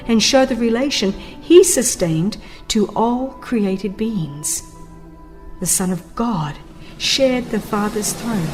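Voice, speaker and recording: A woman narrates a religious audiobook in a fairly high voice. Her delivery is slow, solemn and dramatic, with deliberate pauses between phrases. Soft music plays behind her. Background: music under the voice.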